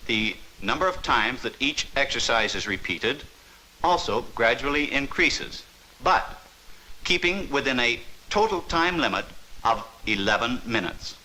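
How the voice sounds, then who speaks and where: A man narrates a 1950s instructional film in a measured, authoritative way. His voice sits at a middle pitch with a bright, forceful edge. He leans on the key words of each point. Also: slight background noise, rough recording. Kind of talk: scripted documentary narration. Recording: 1950s training film soundtrack.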